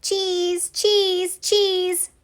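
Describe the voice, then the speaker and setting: A woman's voice: level tone, drawn-out words, heavily acted. A woman voicing tiny, cute, playful puff creatures in a picture book, chirping single words over and over. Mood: gleeful. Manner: squeaky, repetitive chirping.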